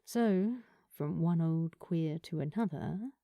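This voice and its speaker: A woman voicing a confident, kind middle-aged queer woman with a smooth, smoky, casual delivery in a narrated story. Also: deep voice, slow, dry recording, voice pushed down. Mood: kind, encouraging. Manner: relaxed, casual, reassuring.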